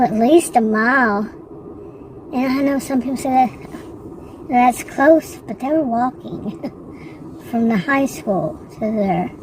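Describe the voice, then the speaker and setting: A woman's voice: fairly high pitch, distant mic, poor audio. A woman with a Texan accent chatting in a loose, rambling way, often stopping to correct herself. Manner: rambling, digressive, self-correcting. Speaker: vlogger. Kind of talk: casual rambling chat. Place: car. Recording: loud traffic noise, voice off-mic.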